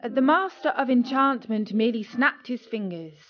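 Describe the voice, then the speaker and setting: A woman narrating a scripted children's story as a storyteller, expressive and dramatic, with deliberate pauses. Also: a little high, a little hiss or hum. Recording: dull lo-fi audio with music.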